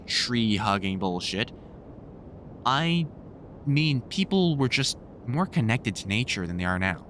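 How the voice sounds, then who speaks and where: A man's voice: mid-range voice, a bit bright, a little hiss or hum. A man playing a wry, grumpily fond vampire hunter in a conversational audio roleplay, teasing and swearing through rambling explanations.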